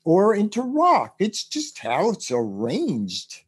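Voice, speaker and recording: A man hosting a podcast about the music business, rambling and animated as he gives advice, with a rough voice. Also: high-pitched, takes it slowly. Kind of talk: casual advice chat.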